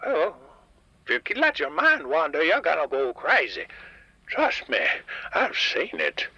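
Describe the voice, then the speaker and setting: A man voicing a grumpy, stubborn old diner owner, raspy, crotchety and rambling, heard over a radio call-in line. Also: fairly high pitch, wildly swooping pitch, measured pace, bright, forceful tone, no echo, muffled recording, full character voice. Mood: gruff, opinionated. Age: older. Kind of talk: comedic radio drama guest. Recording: dry, band-limited radio call-in line.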